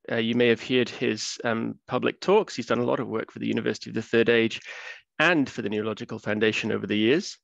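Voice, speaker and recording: A man from Wales in his thirties giving a relaxed science talk on a video call, with many fillers. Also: medium pitch, creaky, dry recording. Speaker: research fellow giving a public talk. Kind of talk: academic webinar lecture. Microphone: computer mic on video call. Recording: dull, dry webinar audio.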